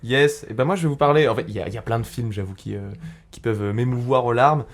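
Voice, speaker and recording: A man's voice: mid-range voice, fast talker, slight background noise. A man on a podcast panel, enthusiastically recommending a film in casual chat, with hesitant fillers.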